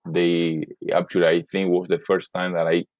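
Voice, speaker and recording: A middle-aged man from Cuba with a Cuban Spanish accent, a native Spanish speaker, talking as a podcast guest in halting speech full of fillers and repeated words. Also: sits low, level tone, no echo. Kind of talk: casual interview talk. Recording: dull lo-fi dry sound.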